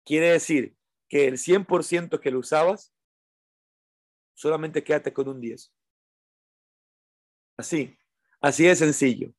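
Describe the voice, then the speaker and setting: A man's voice: on the high side, slow pace, long pauses, dry recording, clean studio sound. A man teaching meditation and attention in an informal talk, didactic and repeating his phrases for emphasis. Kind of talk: informal spoken teaching to an online audience.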